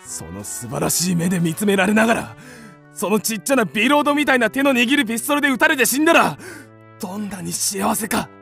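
A man's voice: high-pitched, sing-song, fast talker, creaky, a bit bright, faint music behind, full character voice. A man playing a blustering, hot-headed creditor given to impulsive declarations in a theatrical radio drama. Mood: ardent.

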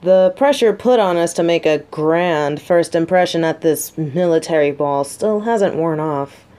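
A woman's voice: fairly low pitch, a little slow, warm tone, natural voice. A woman playing a guarded, sarcastic but secretly vulnerable lieutenant and bodyguard in an intimate audio roleplay. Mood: apologetic. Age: adult. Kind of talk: scripted roleplay audio drama, addressed to listener.

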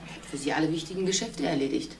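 An actress playing a discreet, measured female witness in a radio crime drama. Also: deep voice, recorded from a distance. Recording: roomy, off-mic, faint noise.

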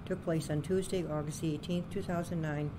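A woman interviewer with a very low voice moves quickly through her questions. She is brisk and encouraging, and the recording has a clear, constant background noise. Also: steady tone. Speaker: oral history interviewer. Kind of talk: interview questions and brief spoken intro.

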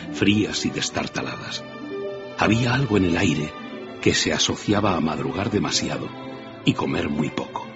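A man narrates a radio story. His voice is very deep and his delivery dramatic. Music plays clearly behind the slightly muffled recording.

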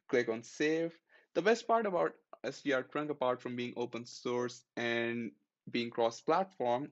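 Guy narrating a screen tutorial, mid pitch, kinda dull lo-fi sound, just walks you through the clicks. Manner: plain step-by-step instructions, friendly and matter-of-fact. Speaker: tech tutorial host. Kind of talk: screen-recorded software tutorial.

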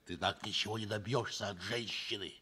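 An actor playing a jovial, bawdy forty-year-old train engineer in a radio play, teasing and coarse. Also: male voice, fairly low pitch, fairly even intonation, a little fast, bright, forceful tone, breathy edge. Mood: boisterous, teasing. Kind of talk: Soviet radio play acting.

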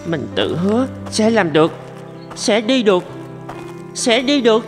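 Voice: medium pitch, animated, measured pace, soft background music. An actor voicing a determined, grateful little boy in a cartoon, speaking with effort. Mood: determined, straining. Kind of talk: Vietnamese cartoon dubbing.

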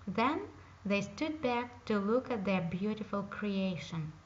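A woman reading a fairy tale aloud in a slow, careful storytelling manner. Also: mid-range voice, expressive. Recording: dull lo-fi home recording.

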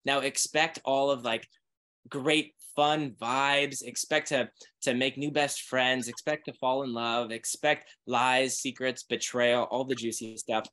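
Energetic man author pitching his book. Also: unhurried. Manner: energetic, promotional. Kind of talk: webinar conversation. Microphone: video call.

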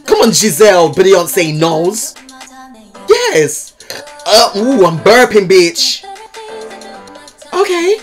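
Young guy reacting to a music video in his bedroom, sassy and loud, voice jumps all over the place, bit of music under it. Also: sits high, huge pitch swings, extremely slow, pauses often. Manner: sassy, exclamatory, swears freely. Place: bedroom at home.

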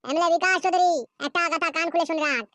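A high-voiced performer playing furious scorned wives in a Bengali comedy cartoon, ranting and scolding in a shrill, pitched-up childlike voice. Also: fairly even intonation, very fast, a bit bright, dry recording. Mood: scolding, threatening. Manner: scolding, foul-mouthed, ranting.

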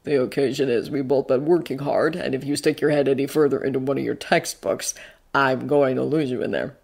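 Lady putting on a grown guy guardian for a story, low and quiet, dry teasing, fond of his kid. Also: fairly even intonation, brisk, creaky, slightly rough, exaggerated voice. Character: gruff but fond, teasing adult male guardian.

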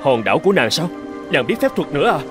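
A man's voice: on the high side, big pitch swings, quick pace. A man voicing a brawny, honorable but anxious island chieftain in a cartoon fairy tale, speaking in an earnest, pleading manner. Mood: astonished. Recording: prominent music behind the voice.

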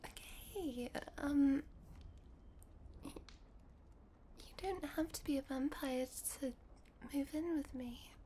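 A woman playing a sweet, shy young vampire girlfriend in an intimate ASMR roleplay, whispering softly and hesitantly. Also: sits high, slow pace, long pauses, slight background noise. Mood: hesitant, caring. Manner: soft, breathy whispering, hesitant and giggly. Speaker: roleplay voice performer.

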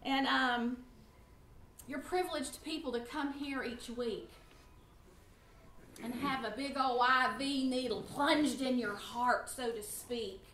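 A woman in her fifties, loud and animated, teaching a church class to a seated group. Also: high voice, takes it slowly. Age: 50s. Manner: loud, animated, chatty with the room. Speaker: guest Bible class teacher. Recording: roomy echo, faint room noise.

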